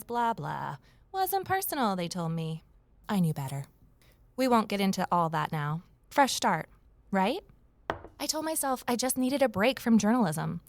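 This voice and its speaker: A woman's voice: mid-range voice, no room sound, close to an everyday voice. A woman playing a plucky, wry young former journalist in an audio drama, speaking conversationally. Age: young adult. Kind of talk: first-person audio drama narration and acting.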